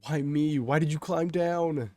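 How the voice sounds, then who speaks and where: Brief: selfish young cynic, played by a male storyteller, whiny and incredulous, raised pitch, close dry sound. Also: moderate pace, dry recording, close to an everyday voice. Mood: panicked refusal. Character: selfish, cynical, panicky young man thinking to himself.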